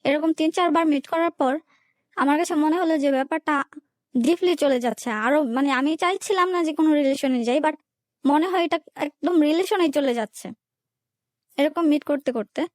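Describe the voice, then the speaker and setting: A woman's voice: sits high, moderate pace, no room sound, studio quality. A young woman with a Bangladeshi accent tells a personal story in an interview, colloquially, recounting conversations turn by turn. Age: young adult. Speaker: guest telling her life story.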